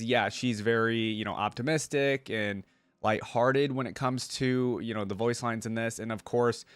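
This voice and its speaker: A man's voice: mid-range voice, no echo. Guy doing casual reaction commentary, chatty, mid voice, dry room, faint music under him. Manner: informal, chatty, thinking aloud. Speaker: reaction video host.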